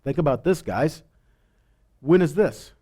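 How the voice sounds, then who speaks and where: A man's voice: on the high side, animated, unhurried, mellow. A man teaching scripture in a sermon, emphatically asking rhetorical questions, repeating phrases and answering himself.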